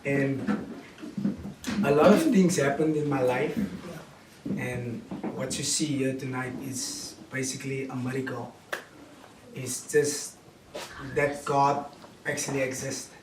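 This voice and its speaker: A man in his late twenties with a Cape Town South African accent, giving a personal testimony in a preaching, storytelling way, in deliberate phrases with repetition. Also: mid-range voice, steady tone. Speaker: guest speaker giving testimony. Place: indoor room with small seated audience. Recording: roomy, distant camera mic with noise.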